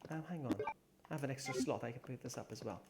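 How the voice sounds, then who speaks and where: A man's voice: medium pitch, fast talker, gravelly, noisy background. A young man streaming a video game, chatting casually with viewers, laughing often and muttering to himself as he plays. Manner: chatty, laughs often, reads and answers chat, mutters to himself while playing. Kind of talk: casual live-stream gameplay commentary. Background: game music.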